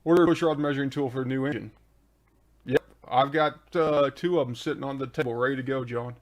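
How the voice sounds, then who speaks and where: A man's voice: medium pitch, fairly even intonation, fast. A man hosting a live stream, chatting casually and answering viewers' questions one by one into a desk microphone. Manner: answers viewer questions on engine tuning, laid-back and chatty. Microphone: desk microphone, adjusted mid-show.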